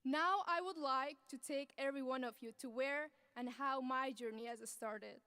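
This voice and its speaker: Clear high-voiced young woman from Afghanistan. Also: pushed and bright, no room sound. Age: young adult. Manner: earnest, measured speech delivery. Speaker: graduating student speaker. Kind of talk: graduation speech. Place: large ceremony venue.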